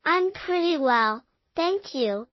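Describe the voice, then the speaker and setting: A woman's voice: high-pitched, lively intonation, slow pace, no room sound, muffled recording, pitched above the performer's own voice. A woman voicing a kind, polite young girl in a storybook tale, speaking earnestly. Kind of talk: storybook character dialogue.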